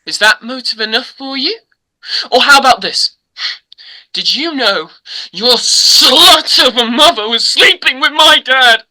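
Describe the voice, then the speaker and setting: Woman playing an unhinged, sharp-voiced young male killer. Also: high-pitched, expressive, heavily acted, poor audio. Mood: bitter, menacing. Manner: halting, drawn-out, taunting delivery. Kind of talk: dramatic script table read.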